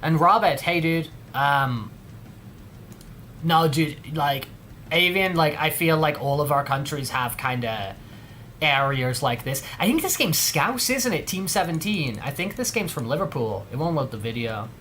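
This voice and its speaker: A man's voice: on the high side, expressive, measured pace, bright, forceful tone, slight background noise. A man with an English accent hosting a livestream, chatting casually in a rambling, slangy way. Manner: rambling, heavy on slang like dude and bro. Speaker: livestream host.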